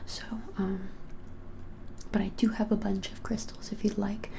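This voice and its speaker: A woman in her twenties whispering a casual ASMR shopkeeper roleplay in a breathy, halting, helpful way. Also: mid-range voice, flat delivery. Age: 20s. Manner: soft, hesitant, halting, helpful explaining. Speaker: ASMR creator playing a witch shop keeper. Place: small plain indoor room.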